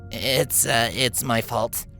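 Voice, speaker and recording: Woman as a sheepish, slightly high young filly. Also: steady tone, slow, creaky, a bit bright, faint music behind, full character voice. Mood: guilty. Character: remorseful young pony filly, candid ringleader.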